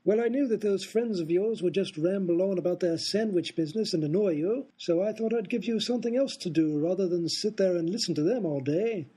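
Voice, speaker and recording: A man's voice: high voice, fairly quick, hardly any pauses, dark tone, breathy edge, rough recording. An actor playing a smug, scheming aristocrat in a comedic radio play, explaining his prank at length.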